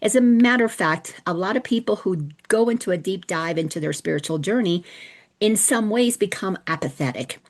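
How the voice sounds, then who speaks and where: A woman's voice: medium pitch, animated, normal speed. A middle-aged woman from the Dominican Republic hosting a casual spiritual teaching talk, chatty and storytelling, often restarting her sentences. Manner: chatty, warm-hearted storytelling with frequent restarts.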